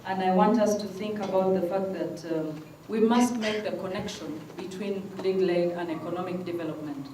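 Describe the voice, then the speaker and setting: Woman at a conference, insistent, low voice, mellow tone, distant mic in an echoey room. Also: moderate pace, hardly any pauses, slight background noise. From Uganda. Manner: earnest, insistent, repeats "I want us to think". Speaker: legal aid program worker, panel participant. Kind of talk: conference floor remarks.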